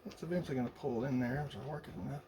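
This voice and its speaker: A man's voice: medium pitch, very fast. A man casually narrating a hands-on build, muttering and thinking aloud as he works. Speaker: hobbyist radio builder. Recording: noisy workshop recording with clicks.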